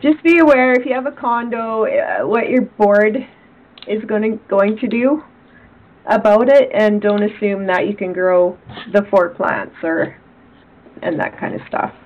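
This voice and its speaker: A woman with a raspy voice, co-hosting a casual podcast chat and talking in an emphatic, earnest way. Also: mid-range voice, unhurried, muffled recording. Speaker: podcast co-host.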